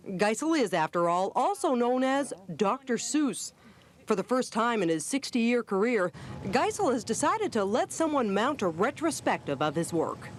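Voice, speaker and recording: An American woman narrating a scripted television news report from the 1980s. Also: a little high. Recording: 1980s archive TV news audio.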